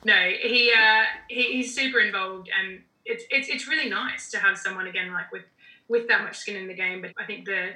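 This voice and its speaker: A woman's voice: mid-range voice, steady tone, normal speed, sharp tone, roomy sound, low-quality recording. A woman with an Australian accent, talking as a podcast guest in a chatty, casual way with frequent fillers and restarts. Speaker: podcast guest, general manager. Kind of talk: casual interview talk.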